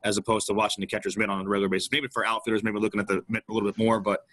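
A man in his thirties, a baseball coach on a video call panel, talking fast and emphatically with frequent restarts. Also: lowish voice, level tone, a bit bright. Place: indoor office with ball caps on wall. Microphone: video call webcam.

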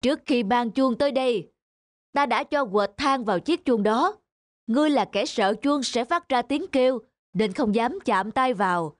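A woman voicing a stern, shrewd male magistrate in a cartoon folk tale, speaking in a measured, formal, authoritative way. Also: on the high side, animated, no echo, clean studio sound, lightly acted. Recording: music behind the voice.

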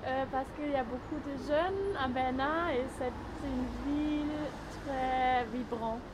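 A young woman with a high voice explains things quickly outdoors at night. Clear street noise fills the background around her. She speaks into a handheld mic. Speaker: passer-by interviewee. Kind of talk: street vox pop. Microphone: handheld mic held by interviewer.

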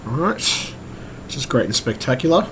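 A man talks in a loose, rambling vlog style at a middling pitch. His voice is croaky and strained, and he pauses and hesitates as he goes. Clear noise sits behind him throughout the recording. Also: sing-song. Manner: hesitant, rambling, swears casually. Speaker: vlogger and computer repairer. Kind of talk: rambling casual update vlog. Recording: clearly noisy room recording.

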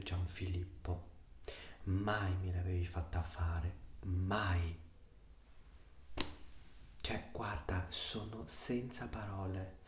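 A young man acts out a stern, sarcastic father in an ASMR roleplay. He scolds in hushed, clipped reprimands that slip into whispers. The room echoes and there is a faint hiss. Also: fairly low pitch. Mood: disappointed. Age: 20s. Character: stern, exasperated, sarcastic Italian father scolding his son. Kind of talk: soft-spoken ASMR roleplay. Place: small room indoors. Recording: roomy echo, faint hiss.

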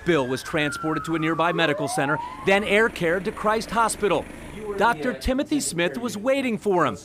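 A man's voice: sits high, normal speed, background noise. A male news reporter reading a scripted voice-over with emphatic storytelling delivery.

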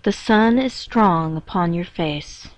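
A woman reading a scripted guided meditation in a measured, instructing manner with pauses between phrases. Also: on the low side. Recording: dull, roomy echoing lo-fi recording.

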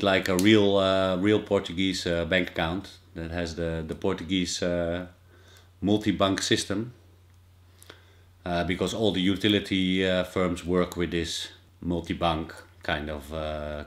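Dutch man, low voice, hesitant. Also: flat delivery, measured pace. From Netherlands. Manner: hesitant, many fillers and restarts. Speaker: vlogger. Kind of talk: casual informative vlog. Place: small indoor office with whiteboard.

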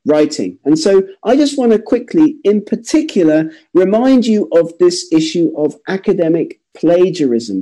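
Mellow-voiced, emphatic webinar presenter. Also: mid-range voice. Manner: emphatic, repeats words for stress. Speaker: webinar presenter for an editing service. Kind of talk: presenting an educational webinar over slides. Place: voice-over on presentation slides.

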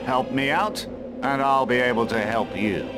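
An actor playing a fierce, hearty northern warrior chieftain in a fantasy game, bold and boisterous. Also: male voice, medium pitch, moderate pace, natural voice, rough recording. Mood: hearty, bloodthirsty. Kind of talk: fantasy video game cutscene acting. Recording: loud music under the voice.

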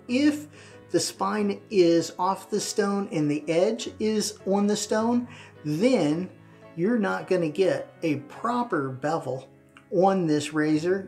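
Man in his fifties, hobby presenter, very slow deliberate delivery, music behind. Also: sits high, darkish tone. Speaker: presenter of a wet shaving channel. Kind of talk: instructional product demonstration to camera. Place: small tiled home bathroom, indoors.

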